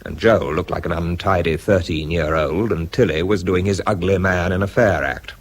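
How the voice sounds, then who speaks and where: An actor with a British accent playing a bitter, self-pitying research scientist in a radio drama, dry and clipped. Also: male voice, sits low, moderate pace, breathy edge. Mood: dry, reflective. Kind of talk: British radio drama acting and first-person narration.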